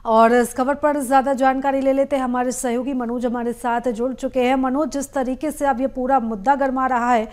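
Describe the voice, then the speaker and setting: A woman's voice: sits high, level tone, brisk, few pauses, a little mellow. A woman presenting live television news as an anchor.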